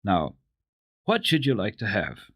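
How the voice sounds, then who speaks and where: A kindly old storybook grandmother, played by a man, speaks with patient indulgence. Her intonation is highly animated and lilting, in a dry, close sound. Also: a little slow, slightly rough, no echo, heavily acted. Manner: gentle, indulgent, explaining patiently.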